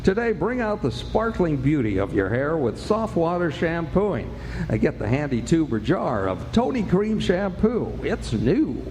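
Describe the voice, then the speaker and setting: A man playing an upbeat 1940s radio announcer, reading product pitches with polished, emphatic salesmanship. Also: sing-song, a little slow, a little mellow, soft background music. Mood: smooth selling. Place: live stage performance in a large indoor space. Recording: echoey hall, faint background noise.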